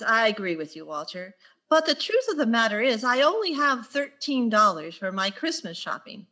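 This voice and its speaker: A woman playing a wry, quick-witted schoolteacher in an old-time radio sitcom style, with dry sarcastic delivery. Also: a little high, bright, forceful tone.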